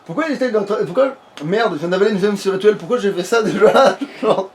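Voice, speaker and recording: A man talks through a let's play. He rambles in casual, slangy, joking speech. Background noise sits clearly under his voice. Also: sits high, fast. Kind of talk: casual gaming chat. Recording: noisy home recording, steady background noise.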